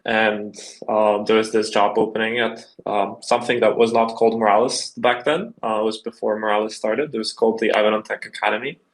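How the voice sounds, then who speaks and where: A man's voice: lowish voice, steady tone, fairly quick, few pauses, low-quality recording. A young man from the Czech Republic, answering interview questions in a loose, rambling way with lots of fillers. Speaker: guest.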